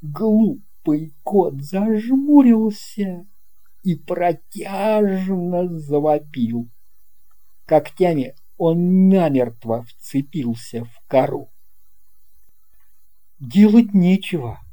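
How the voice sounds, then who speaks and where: A man narrating a children's tale in an unhurried, expressive storytelling manner. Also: high-pitched. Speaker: audiobook narrator.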